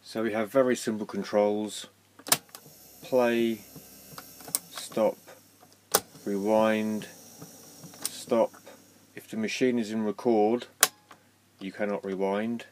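A man giving a scripted demonstration in a plain, measured, matter-of-fact way. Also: lowish voice, flat delivery. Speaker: presenter demonstrating vintage equipment. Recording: faint background noise.

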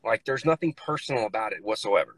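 A man's voice: lowish voice, fast, husky, no room sound. Blunt American man on a phone call from a car. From United States. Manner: relaxed, blunt, casual phrasing. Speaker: guest. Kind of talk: casual video call chat. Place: inside a parked car. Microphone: phone video call.

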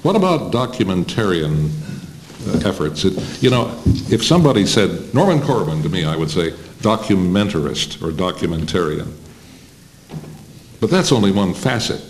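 An American man putting questions in a panel interview, measured, pausing between phrases. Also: low voice, sing-song, slight background noise, low-quality recording. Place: indoor meeting room, panel table.

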